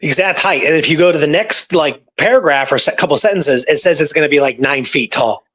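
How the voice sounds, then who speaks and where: A man with a somewhat high voice chats through historical research. He is informal and conversational, telling it like a story to a friend as a guest researcher. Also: a little fast, a bit bright, breathy edge. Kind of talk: casual conversational history discussion.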